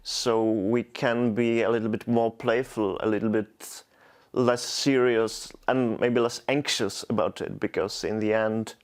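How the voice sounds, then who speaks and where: Slow, halting man with Czech accent. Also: lowish voice, steady tone. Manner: hesitant, many restarts and repeated words. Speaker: poet, guest. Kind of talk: reflective interview answers.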